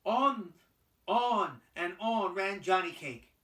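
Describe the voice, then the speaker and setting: A storyteller narrating a scripted folktale with theatrical, deliberate phrasing, as for children. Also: medium pitch, expressive, fairly bright tone, distant mic. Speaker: puppet show storyteller. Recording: roomy, voice away from microphone.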